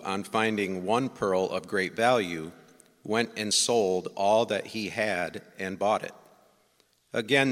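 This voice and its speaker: A man's voice: on the low side, a bit bright. A man reading the Gospel aloud in a formal liturgical style at a church service. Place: large reverberant church. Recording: long echoing church reverb.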